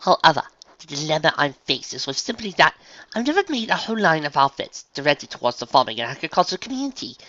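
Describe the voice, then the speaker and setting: A man voicing a refined, excitable female unicorn dressmaker in a story, with prim, effusive, theatrical delivery. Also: on the high side, fast, lo-fi sound, pitched above the performer's own voice, exaggerated voice. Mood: businesslike, pleading.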